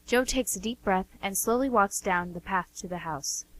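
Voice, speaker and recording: A woman's voice: mid-range voice, normal speed, fairly bright tone, rough recording. A woman narrating a scripted story as co-host of a radio theatre show.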